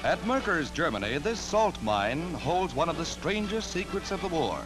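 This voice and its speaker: An American man reads a 1940s newsreel script in a dramatic, declamatory way. His voice sits a little high and swings with lively emphasis. Loud music plays behind him on the archival soundtrack. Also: moderate pace, low-quality recording. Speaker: newsreel narrator. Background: loud orchestral newsreel music.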